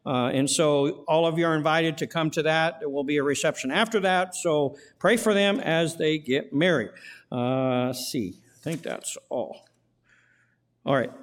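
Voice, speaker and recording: A male pastor with a slightly high voice leads his church through announcements and a prayer. He speaks in a folksy way, repeating words and filling gaps with "uh". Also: measured pace. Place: church sanctuary.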